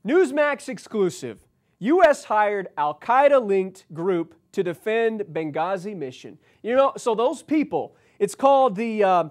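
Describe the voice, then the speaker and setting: A man with an American accent and a notably high-pitched voice delivers political commentary. He reads news items aloud and breaks off into emphatic, halting asides, often repeating himself. He sounds like he is in his forties. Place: indoor studio set, seated at a desk.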